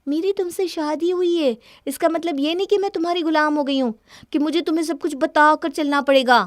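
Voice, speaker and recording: A woman's voice: high-pitched, fairly quick, no echo, studio quality, close to an everyday voice. A woman voicing a spoiled, defiant young wife in a cartoon story, arguing in a dismissive, indignant way. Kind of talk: Hindi cartoon story dialogue.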